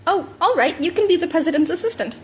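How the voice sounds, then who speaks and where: A cheeky, stubborn schoolboy acted by a woman with a high, eager voice. He is playful and insistent, like a comic audiobook hero. There is clear background noise. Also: expressive, quick pace. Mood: cheerful, insistent. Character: bubbly, stubborn, cheeky teenage boy student. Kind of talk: amateur audiobook character reading. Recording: home recording with background noise.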